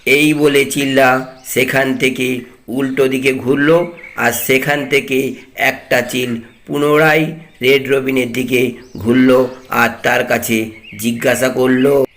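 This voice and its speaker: A man narrates a cartoon fairy tale from a script. His pace is very slow and his pitch sits in the middle range for a male voice. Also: level tone, a bit bright, slight background noise.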